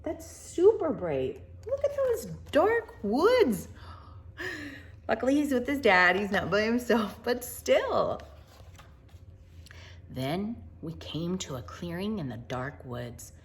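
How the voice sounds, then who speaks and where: A woman reading a picture book aloud to children in an expressive, wonder-filled way, with chatty asides and hushed moments. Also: medium pitch, takes it slowly. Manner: animated, wonder-filled, addressing young listeners, dropping to hushed tones.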